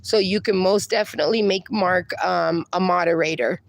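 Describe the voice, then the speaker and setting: A woman's voice: on the low side, steady tone, normal speed, gravelly, no echo. A woman hosting a casual livestream, reading viewer comments aloud and answering them in a chatty, reassuring way. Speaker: livestream host.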